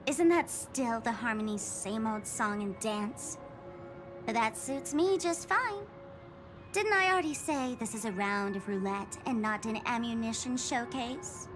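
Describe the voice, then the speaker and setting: Playful, menacing young female game villain, acted by a woman: high-pitched, unhurried, mocking, theatrical. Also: close to an everyday voice. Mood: taunting. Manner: mocking, teasing, theatrical delivery. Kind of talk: video game voice acting. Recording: music under the voice. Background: background music.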